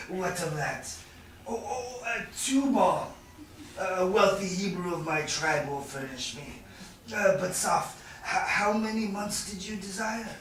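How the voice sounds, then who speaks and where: A man acting a bitter, sly moneylender in a Shakespearean staged reading, with a hesitant, drawn-out, chuckling delivery. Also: high-pitched, expressive, a bit bright, exaggerated voice. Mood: sly, musing. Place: live staged reading in a reverberant room. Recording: echoey room, distant mic, faint noise.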